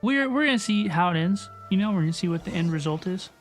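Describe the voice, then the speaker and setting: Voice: mid-range voice, lively intonation, quick pace. A livestreamer chatting casually with viewers while playing a video game, rambling and reacting aloud in slang. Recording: game music behind the voice. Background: video game music and dialogue.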